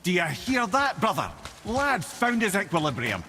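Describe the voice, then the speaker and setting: A witty, learned Scottish advisor talks with wry eloquence. His voice sits a little high and swings in animated arcs. It is a video game performance with music clearly heard behind it. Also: moderate pace, exaggerated voice. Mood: teasing, amused. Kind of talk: video game cinematic acting.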